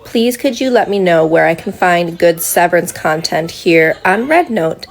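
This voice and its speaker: A woman making excited, polite requests to her followers in a chirpy tone. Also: fairly low pitch, moderate pace, creaky.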